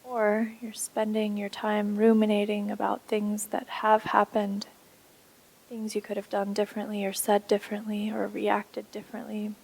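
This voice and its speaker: Even-toned woman guiding a meditation. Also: medium pitch, vocal fry, husky, poor audio. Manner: soothing, unhurried instruction with pauses. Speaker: yoga and meditation instructor. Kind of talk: guided meditation.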